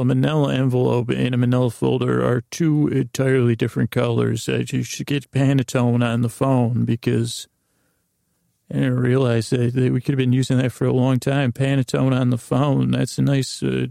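A man with a heavily creaky, gravelly voice and a mellow, relaxed tone. He hosts a bedtime podcast, wandering through whimsical digressions in an improvised way. His pitch stays quite flat. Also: dry recording, clean studio sound. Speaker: host of a bedtime story podcast. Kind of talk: rambling, improvised sleep-aid monologue.

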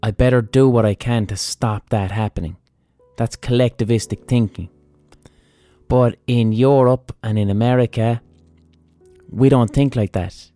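Irish male podcast host, mellow, dry close sound, blunt and profane, casual monologue. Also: medium pitch, steady tone, measured pace, no room sound, faint music behind. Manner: blunt and profane, thinking aloud.

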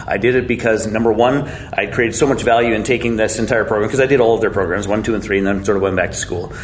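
A man's voice: lowish voice, fast, a little hiss or hum, rough recording. A man in his forties, a guest telling his story in a casual, blunt way, swearing freely and joking at his own expense. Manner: blunt, swearing, self-mocking, chuckling.

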